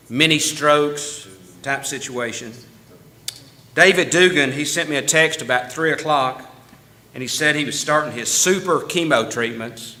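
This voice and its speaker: A man's voice: mid-range voice, pushed and bright. A man giving casual church announcements in a folksy, chatty way, laughing easily and restarting his sentences. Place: church meeting room.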